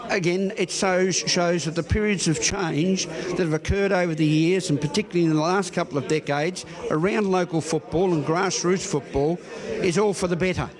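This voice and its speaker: High-pitched Australian male reporter, crowd noise. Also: normal speed. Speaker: sports reporter, interviewer. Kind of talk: local TV sports interview.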